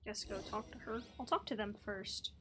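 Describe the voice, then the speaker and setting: A woman gamer with a mid-pitched voice gives casual commentary on a game. She reacts informally and chattily, as if thinking aloud. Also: normal speed, background noise. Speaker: gamer, video host.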